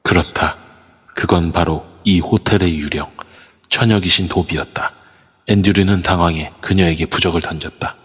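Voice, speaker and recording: Low raspy guy narrating a spooky story, echoey. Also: moderate pace. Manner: steady storytelling. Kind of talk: scripted audio drama narration. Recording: long reverb over a voice app.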